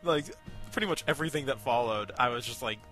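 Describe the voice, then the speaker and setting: A man's voice: medium pitch, quick pace, bright, forceful tone, soft background music, rough recording. A man co-hosting a casual review show, talking informally and with enthusiasm. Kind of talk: casual chat, reviewing amateur voice acting.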